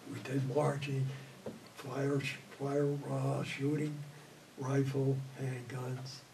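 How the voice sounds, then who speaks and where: Older male veteran interviewee, rambling storyteller, mic set back with steady background noise. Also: mid-range voice, takes it slowly, vocal fry. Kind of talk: oral history recollection. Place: indoor studio before green backdrop. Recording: distant mic, audible room noise.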